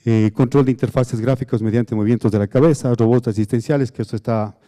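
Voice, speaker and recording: Dark-voiced Ecuadorian man lecturing. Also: medium pitch, steady tone. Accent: Cuenca, Ecuador. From Azuay, southern Ecuador. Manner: halting, many "eh" fillers and restarts, asks "¿no es cierto?". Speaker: biomedical engineering lecturer. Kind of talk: conference lecture.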